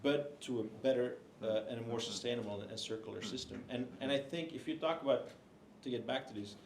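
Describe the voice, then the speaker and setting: Male panelist, mid pitch, hesitant with fillers, roomy hall sound, faint noise. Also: brisk. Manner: hesitant, many fillers and restarts. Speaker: book co-author on a panel. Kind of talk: panel discussion.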